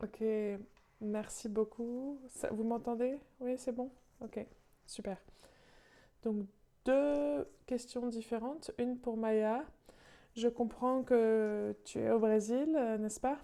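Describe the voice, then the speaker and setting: A woman interpreting live in an online meeting over a video call, halting and searching for words as she goes. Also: fairly high pitch, steady tone, dark tone.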